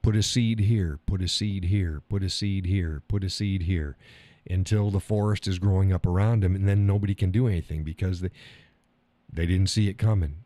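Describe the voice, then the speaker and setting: A man's voice: low-pitched, steady tone, moderate pace, mellow, dry recording. A man hosting a live stream show, talking bluntly and profanely. He hammers points by repeating phrases and reads out viewer chat comments.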